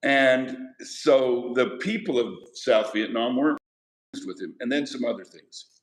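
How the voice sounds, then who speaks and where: A man's voice: mid-range voice, measured pace. Male history teacher lecturing in an echoey classroom. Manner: conversational, asks the class questions.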